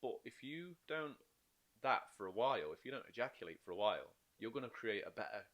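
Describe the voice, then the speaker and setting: A man's voice: mid-range voice, brisk. A man chatting on a podcast as a life coach, explaining eagerly and acting out inner voices. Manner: animated, explains in bursts with quoted inner voices. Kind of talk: casual podcast chat.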